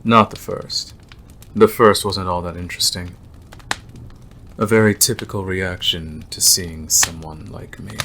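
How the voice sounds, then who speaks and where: Guy doing a creepy possessive werewolf for asmr roleplay, really deep voice, slow n teasing, noticeable noise in the recording. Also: fairly even intonation. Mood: menacing reminiscence. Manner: slow, deliberate, teasing, with drawn-out pauses. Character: predatory, obsessive male werewolf, smooth and menacing stranger.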